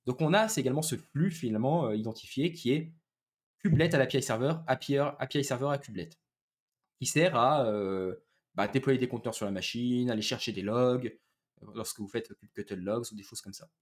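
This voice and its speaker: A man's voice: mid-range voice, brisk. A man gives an informal technical presentation over a video call on a headset, explaining step by step with frequent hesitations. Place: small room indoors, video call.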